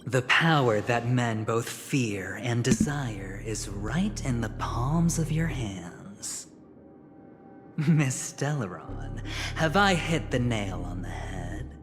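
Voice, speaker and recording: A man playing a smug, scheming gambler in a video game, suave and taunting, with theatrical, drawn-out phrasing. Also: mid-range voice, animated, in a large hall, music in the background. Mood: sly, persuasive. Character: smug, scheming male gambler, teasing and menacing dealmaker.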